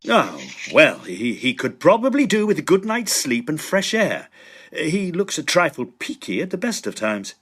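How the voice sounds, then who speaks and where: A man's voice: a little high, sing-song, moderate pace, slightly rough, full character voice. An actor playing a polite, sensible young male medical student in a period audio drama, courteous and a little hesitant. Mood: dryly sensible. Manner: hesitant, courteous, gently admonishing.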